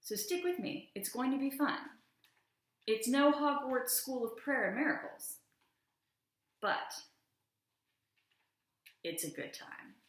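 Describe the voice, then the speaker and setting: A woman's voice: sits high, expressive, slow pace, pauses often. A woman in her thirties giving a theatrical, emphatic dramatic reading of formal legal text into a camera microphone at home. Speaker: host of a video channel, reader. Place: room at home beside a stairwell, indoors. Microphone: camera or laptop microphone at about arm's length. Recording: very echoey home room.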